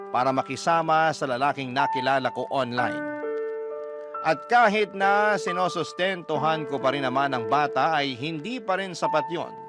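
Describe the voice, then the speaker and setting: A man reading a listener's letter aloud in first person, as radio drama narration. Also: fairly high pitch. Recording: music bed under the voice.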